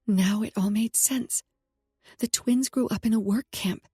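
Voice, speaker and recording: A woman narrating a romance audiobook in first person, soft and emotional. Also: on the high side, measured pace, husky, dry recording, studio quality, faint music behind.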